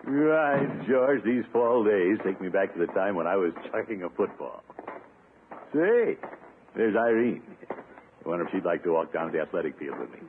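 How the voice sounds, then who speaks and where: Blustery, excitable middle-aged uncle for a radio sitcom, pompous, swooping delivery, tinny old broadcast sound. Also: male voice, low-pitched, moderate pace. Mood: jovial, flirtatious. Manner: blustery, exclaiming, chuckling. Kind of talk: 1950s radio comedy acting. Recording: tinny, noisy 1950s radio recording.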